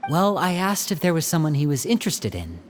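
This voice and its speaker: A man voicing a young stage magician in a game who tells stories smoothly and turns grave when he speaks of the rules. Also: sing-song, fairly quick, soft background music. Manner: smooth storyteller, turns serious and low. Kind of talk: game voice acting.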